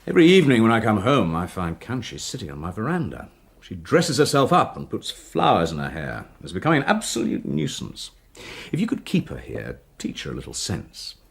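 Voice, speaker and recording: A gravelly, blunt worldly man. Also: lowish voice, wildly swooping pitch, a little fast, exaggerated voice. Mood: wry. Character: blunt worldly man, local agent, Mr Dean. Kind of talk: radio drama acting.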